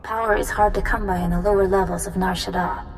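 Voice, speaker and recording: A woman's voice: medium pitch, steady tone, fast talker, faint music behind, close to an everyday voice, poor audio. Earnest, respectful female follower played by an actress. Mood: deferential, earnest. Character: earnest, deferential, sharp-witted young cultist follower.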